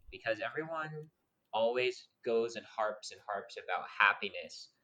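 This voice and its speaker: A young man with a low voice and a bright, forceful edge talks loudly and at length on a casual podcast. He swears freely and wanders off on tangents, sometimes dropping almost to a whisper. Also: low-quality recording. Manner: loud, talkative and swears freely. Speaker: podcast co-host. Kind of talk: casual chat.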